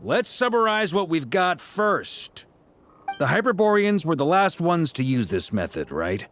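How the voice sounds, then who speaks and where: Animated, practical game adventurer. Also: male voice, on the high side. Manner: direct, casual, animated. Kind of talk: video game voice acting.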